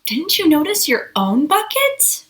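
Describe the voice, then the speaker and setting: Casting: female children's storybook reader, expressive and unhurried, very lively intonation, recorded in an echoey room. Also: high-pitched. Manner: expressive, acts out dialogue and asks young listeners questions. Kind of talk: children's picture book read-aloud.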